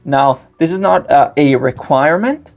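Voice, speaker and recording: A man's voice: fairly high pitch, moderate pace, gravelly, a little mellow, soft background music. A young man whose first language is Greek, giving a step-by-step screen-recorded tech tutorial with frequent filler sounds. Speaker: tutorial host.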